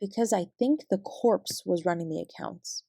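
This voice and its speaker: A woman's voice: medium pitch, normal speed, gravelly, dark tone, slightly rough, dry recording. Woman acting out a fraud investigator, Canadian accent, guarded and grim, clipped report-like delivery, audio drama, dry close sound. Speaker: investigator being interviewed.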